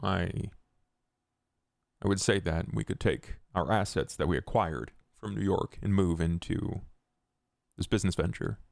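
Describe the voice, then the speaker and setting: Guy doing a loyal vampire gent, really low gravelly voice, formal and measured, reflective, rpg podcast. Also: darkish tone, no echo, clean studio sound. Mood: businesslike. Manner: measured, formal, courtly wording. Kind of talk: tabletop roleplay podcast acting.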